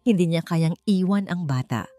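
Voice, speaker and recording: A woman narrating a scripted audiobook love story in a measured, expressive storytelling manner. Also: on the low side, soft background music.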